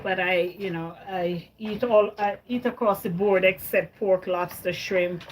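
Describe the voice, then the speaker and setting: A woman's voice: mid-range voice, steady tone, a little slow, slight background noise, poor audio. A woman from Jamaica hosting a casual livestream, chatting with viewers, answering their comments and often repeating her words. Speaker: livestream host.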